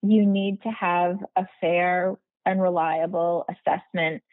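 A woman's voice: fairly low pitch, steady tone, slow, dry recording. A woman hosting a podcast interview, framing her questions fluently and at length. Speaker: podcast host. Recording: tinny phone-like line, dry.

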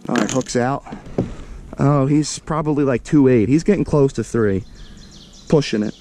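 An American man talks casually to his viewers from a kayak out on a lake, his voice warm and mellow. He is excitable and slangy, calling out his catches as they happen. The sound comes from an action camera on the boat, with faint outdoor noise behind him. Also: medium pitch, normal speed. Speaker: fishing vlogger. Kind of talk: casual running commentary to camera. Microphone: action camera mounted on the kayak.

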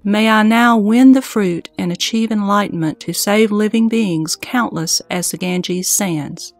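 A woman reciting Buddhist verse in a solemn, reverent, deliberate manner. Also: mid-range voice, no room sound, faint music behind. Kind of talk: scripted devotional verse recitation.

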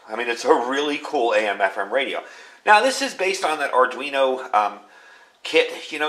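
Middle aged american guy doing a casual gear demo, regular mid pitch, explains stuff bit by bit. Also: fairly bright tone. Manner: informal, explanatory, step by step. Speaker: radio gear reviewer, video host. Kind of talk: casual product demonstration. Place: indoors at a workbench in a home workshop.

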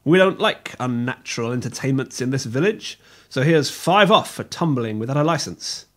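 A man's voice: mid-range voice, expressive, moderate pace, fairly bright tone, voice pushed down. A man doing a pompous, scolding village cop. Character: stern male village policeman, officious.

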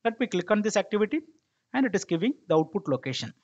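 A man narrating a software tutorial step by step as an instructor, explaining and checking that listeners follow, in a lo-fi recording. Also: high-pitched, sing-song, quick pace. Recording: dull lo-fi microphone sound.

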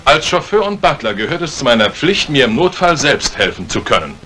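An actor playing a dutiful, unflappable chauffeur turned butler, formal and deferential, in a retro radio crime drama. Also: male voice, mid-range voice, big pitch swings, brisk, pushed and bright. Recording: noisy old radio recording.

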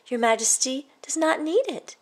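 A woman voicing a wise, modest young boy in a storybook, gently cautioning. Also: sits high, animated, normal speed, voice pushed up. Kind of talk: storybook read-aloud.

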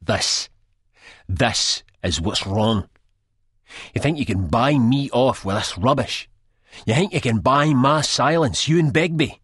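A fervent, preachy Scottish ex-addict man, shouting about sin and the devil, as a man puts him on in a storybook reading. The voice sits low and husky, and the sound is close and dry. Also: unhurried, long pauses, no room sound, heavily acted. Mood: enraged. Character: ranting born-again Scottish ex-junkie man.

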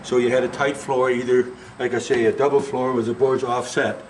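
A man's voice: mid-range voice, little pitch movement, fairly quick, a little hiss or hum, poor audio. An older man guiding a tour through an old wooden barn, explaining farm tools in a folksy, rambling way. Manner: folksy, rambling, full of "you know" and "okay?". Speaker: museum guide. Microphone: camera mic, handheld camera.

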